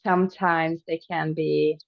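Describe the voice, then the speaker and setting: Slow, low-voiced Norwegian woman, slightly creaky. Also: flat delivery, dry recording, dull audio. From Norway. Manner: halting, searching for words. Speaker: podcast co-host. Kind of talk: casual podcast conversation.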